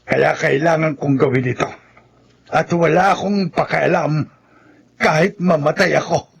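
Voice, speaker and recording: A man's voice: on the high side, a little slow, gravelly. A man playing a defiant, vengeful grandfather in a horror radio drama, speaking grimly and emphatically. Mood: angry, defiant. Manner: grim, emphatic. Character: defiant, vengeful old grandfather sharpening a blade.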